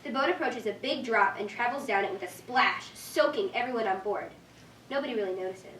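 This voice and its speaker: A woman's voice: on the high side, brisk, fairly bright tone, far from the mic. Young woman narrating in an echoey room. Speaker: narrator reading stage directions. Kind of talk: scripted narration at a staged reading. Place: live staged reading in a room. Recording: echoey room from afar, faint noise.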